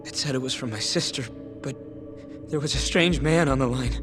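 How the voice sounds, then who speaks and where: A man acting a frightened, apologetic witness in a video game drama, speaking hesitantly and haltingly. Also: medium pitch, over music, natural voice. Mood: shaken. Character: frightened, apologetic male witness, timid and guilt-ridden.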